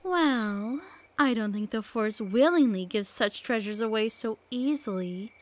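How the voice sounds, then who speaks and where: A woman playing a mysterious storyteller who tells eerie tales to children in a theatrical, hushed, suspenseful way in an audio play. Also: fairly high pitch, measured pace, natural voice. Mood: musing, mysterious.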